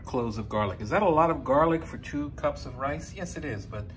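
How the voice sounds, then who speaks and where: A man in his fifties from Peru talks through a recipe at a medium pitch, chatty and explanatory, often pausing with "uh" and correcting himself. He is recorded on a phone in his home kitchen, with clear background noise under his voice. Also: expressive. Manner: explanatory, conversational, with frequent fillers and self-corrections. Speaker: home cooking channel host. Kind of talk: step-by-step cooking demonstration.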